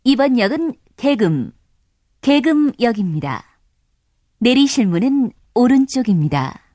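A woman giving recorded subway station announcements in a polite, formal, measured way. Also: a little high, very animated, mellow, no echo, clean studio sound.

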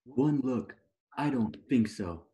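A man's voice: medium pitch, expressive, takes it slowly, mellow, slight background noise. A man playing a vain, dim-witted but earnest male supermodel, clueless in manner, over a video call. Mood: indignant.

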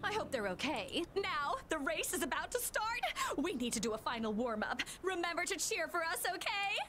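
High, spirited team captain. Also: female voice, sing-song, normal speed, bright, forceful tone, soft background music, exaggerated voice. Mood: friendly, eager. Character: spirited, commanding young female team captain. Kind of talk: video game voice acting.

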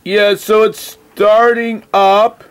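A man on a phone call speaking in a halting, deliberate, literal way as he spells and reads aloud. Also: high voice, flat delivery, clear, resonant tone. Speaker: phone call recipient stringing a caller along. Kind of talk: slow phone conversation, spelling and reading aloud.

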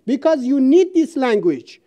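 A woman from Iran, giving a seminar speech in an auditorium in halting English, speaking emphatically and reciting verse. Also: high-pitched, very animated, dark tone. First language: Persian.

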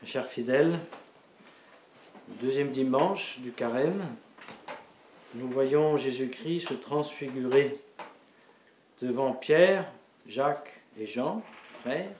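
Slow, halting priest in an echoey chapel. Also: male voice, medium pitch, darkish tone, a little hiss or hum. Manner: halting, with many repeated words and fillers. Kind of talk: sermon. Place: small wood-panelled chapel before an altar.

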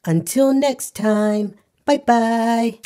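A woman voicing a friendly furry animal puppet, reading a storybook to young children with cheerful, deliberate, singsong enunciation over music. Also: fairly high pitch, mellow. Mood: cheerful, encouraging. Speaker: children's read-aloud host. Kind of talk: slow storybook read-aloud for young children. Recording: music under the voice.

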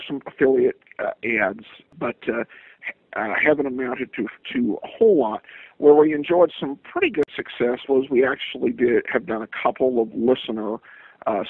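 A man's voice: mid-range voice, slightly rough, dry recording. A man answering interview questions as a podcast guest, speaking hesitantly with repeated stammered restarts, over a call. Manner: hesitant, halting delivery with frequent stammered repeats. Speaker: guest, podcaster of old-time radio shows. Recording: tinny phone-line sound, dry.

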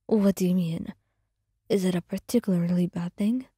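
Gentle protective male dragon spirit, hushed and formal, voiced by a woman; husky, dry close sound. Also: on the low side, fairly even intonation, normal speed, no echo. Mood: tender. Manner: soft whispered, formal and polite.

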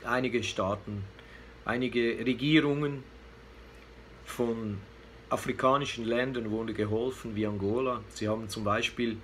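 A man's voice: mid-range voice, fairly even intonation, measured pace, a bit bright. A man in his fifties giving unscripted political commentary to camera, hesitant and searching for words. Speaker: vlogger giving a weekly news commentary. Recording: clear background noise, room ambience.

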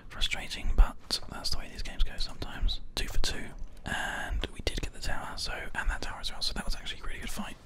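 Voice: fast, hardly any pauses. A gaming host whispering ASMR gameplay commentary very close into a binaural microphone. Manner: hushed whispering throughout. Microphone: close binaural microphone, ear to ear.

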